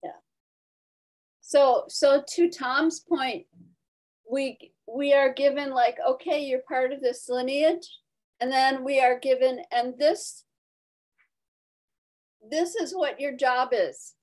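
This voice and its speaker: A woman with a fairly high voice and very slow delivery, leading a spiritual discussion on a video call. She speaks reflectively, in short spontaneous phrases with room between them. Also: a little mellow, low-quality recording. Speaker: Zen teacher leading a discussion. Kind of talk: spontaneous dharma talk and discussion.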